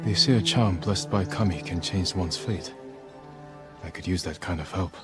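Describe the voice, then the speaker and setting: A stoic samurai lord played by a man speaks in a low, grave voice. The acting is calm, resolute game drama, with music clearly behind it. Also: normal speed, natural voice. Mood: measured.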